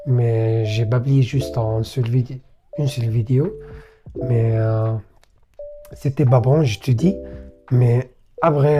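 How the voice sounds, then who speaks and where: A young Egyptian man whose first language is Arabic, speaking French with an Arabic accent in an unscripted vlog, full of pauses and self-corrections. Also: mid-range voice, muffled recording. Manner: hesitant, many fillers and self-corrections. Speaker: vlogger learning French. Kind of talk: unscripted casual monologue to camera.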